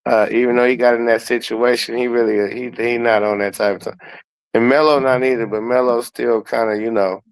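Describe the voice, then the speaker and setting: A man speaks in a clear, resonant voice at a middle pitch. He gives casual sports commentary as a guest, full of slang and profanity, circling back on words as he builds his point. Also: level tone, a little fast, low-quality recording. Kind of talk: casual sports talk with opinions and heavy slang.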